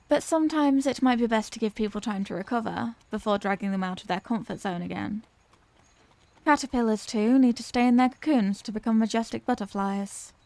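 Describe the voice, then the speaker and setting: Woman playing a high-voiced genteel Victorian vampire lady. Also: vocal fry, darkish tone, dry recording. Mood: reflective. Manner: formal, polite, old-fashioned genteel phrasing. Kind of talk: ASMR audio roleplay, scripted character acting.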